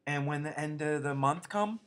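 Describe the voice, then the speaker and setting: A man's voice: normal speed, fairly bright tone, lightly acted. A man doing a childlike, whiny simple man. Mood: eager. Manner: high pleading whine. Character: slow-witted big gentle man, childlike and anxious.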